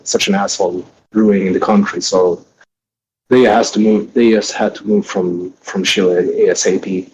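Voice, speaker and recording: A man in his thirties from Sweden, a podcast guest talking plainly and in a relaxed way. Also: on the low side, background noise. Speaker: guest band member. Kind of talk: casual podcast chat.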